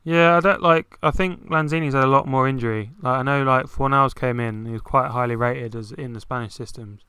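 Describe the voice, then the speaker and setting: A man's voice: mid-range voice, fairly even intonation, brisk, few pauses, sharp tone, clear, resonant tone, dry recording, clean studio sound. A young man co-hosting a casual football chat, talking loosely with many fillers. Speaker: podcast co-host.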